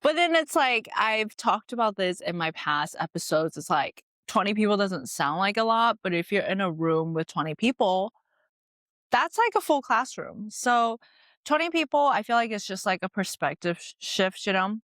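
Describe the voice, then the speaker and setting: A woman's voice: medium pitch, expressive, normal speed, fairly bright tone, no echo. A woman in her late twenties from Taiwan, hosting a podcast and telling her life story in a chatty, reflective way full of "like" fillers. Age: late 20s. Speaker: podcast host. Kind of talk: casual personal storytelling.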